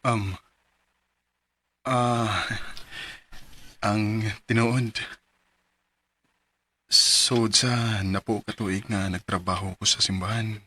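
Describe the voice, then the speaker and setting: A man's voice: fairly low pitch, fairly even intonation, very slow, pauses often, bright, forceful tone, breathy edge, full character voice. An actor playing a hesitant, guilt-ridden man weighed down by his past, in a radio drama. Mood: burdened. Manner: hesitant, heavy, sighing. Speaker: lead character.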